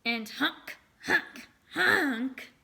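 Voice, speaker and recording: A woman teacher reads and explains a story for children. Her voice is bright and a little high, and she phrases things expressively, with deliberate emphasis. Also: expressive, vocal fry. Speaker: teacher reading aloud to children. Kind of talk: expressive storybook read-aloud with lesson questions.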